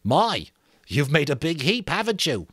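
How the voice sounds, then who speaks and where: A bespectacled, timid boy, high and shrill, fussy and easily panicked, dramatic audiobook. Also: very animated, a little slow, fairly bright tone, husky, dry recording, clean studio sound, heavily acted. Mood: mildly surprised.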